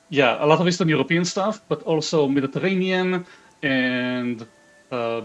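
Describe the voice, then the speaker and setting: A man from Israel with an Israeli accent, streaming live, reading chat aloud and muttering half-sentences to himself as he builds a game character. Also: fairly high pitch. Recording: dull lo-fi stream audio.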